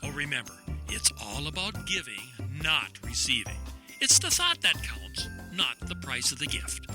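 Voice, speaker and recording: A man playing a showy, folksy travel agent giving a TV pitch in a comedic audio drama. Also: wildly swooping pitch, normal speed, over music. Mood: chirpy, festive.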